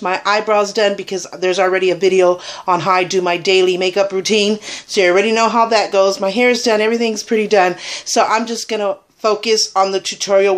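A Puerto Rican woman in her thirties, chatting her way through a casual makeup tutorial into a webcam in a small room, with plenty of ums. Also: mid-range voice. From Puerto Rico. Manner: chatty, rambling, filler-heavy. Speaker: beauty vlogger. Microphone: webcam at arm's length.

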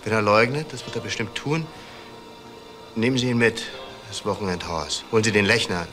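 Male actor for articulate, self-justifying middle-aged man, crime drama; deep register, measured phrasing with pauses. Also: music in the background, close to an everyday voice. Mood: urgent, persuasive. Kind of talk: German TV crime drama dialogue.

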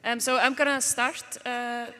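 A woman from Iceland, chair of the board, opening a public meeting in a relaxed, chatty way with many fillers. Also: fairly high pitch, a little slow. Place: conference hall stage. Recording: long hall reverb.

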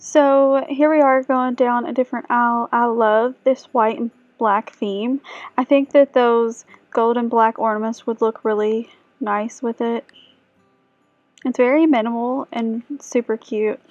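A woman's voice: a little high, fairly even intonation, measured pace, darkish tone, no echo. A young woman narrating a casual shopping vlog, chatting with viewers and asking them questions. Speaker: vlogger. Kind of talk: casual shop-with-me voice-over. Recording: dull dry sound under music.

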